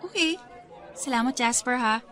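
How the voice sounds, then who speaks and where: Bantering young single woman, high-voiced. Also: moderate pace, bright, forceful tone. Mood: soft, friendly. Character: Carol, serious but fun single female office worker. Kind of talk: radio drama acting, conversational Tagalog. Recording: music and crowd chatter behind.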